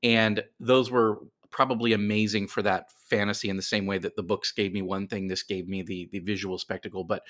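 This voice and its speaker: A man's voice: lowish voice, little pitch movement, a little fast, few pauses, a bit bright. A middle-aged man telling stories casually as a guest in a podcast interview.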